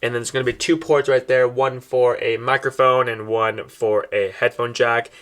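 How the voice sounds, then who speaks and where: A man's voice: medium pitch, steady tone, moderate pace, fairly bright tone. A man presenting a product in a casual, step-by-step unboxing style, explaining things directly to viewers as he demonstrates.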